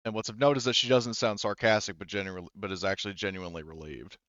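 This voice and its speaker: A man narrating a tabletop roleplaying game as game master, in a halting, rambling way as if thinking aloud. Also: fairly low pitch, fairly even intonation, pushed and bright, dry recording, dull audio.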